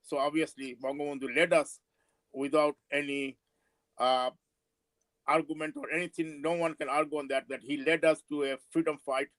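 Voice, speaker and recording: A man in his seventies with a Bangladeshi accent and Bengali as his first language, giving a political speech with personal recollections in a halting, emphatic way. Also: a little high, little pitch movement, a bit bright, no room sound, low-quality recording. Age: 70s. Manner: halting, emphatic, with drawn-out words. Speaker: panel speaker at a forum.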